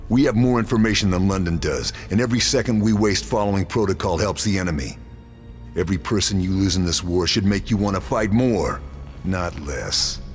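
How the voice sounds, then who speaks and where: Male actor as lone soldier, deep voice, terse, grave, controlled, game narration, background music. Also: brisk, lightly acted. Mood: firm. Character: stoic, determined lone sniper soldier. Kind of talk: video game cutscene acting and briefing narration.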